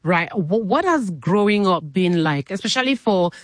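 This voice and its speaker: A woman's voice: medium pitch, animated, normal speed, no echo, studio quality. A woman reporter putting questions to a guest in an interview.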